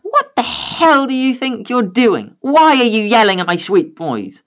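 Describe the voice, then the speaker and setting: A storyteller voicing a shrill, scornful entitled mother who mocks a child, on a slightly dull recording. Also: big pitch swings, unhurried, a bit bright. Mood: sneering.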